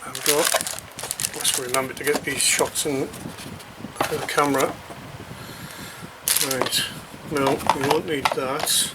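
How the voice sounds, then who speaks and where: A man talks through an unboxing at a slow, halting pace, thinking aloud. His mid-pitched voice is matter-of-fact and unscripted. The recording has clear background noise, with packaging rustling as he goes. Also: fairly even intonation. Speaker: radio hobbyist presenting an unboxing. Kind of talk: unscripted product unboxing commentary. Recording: noticeable background noise, crinkling packaging handling.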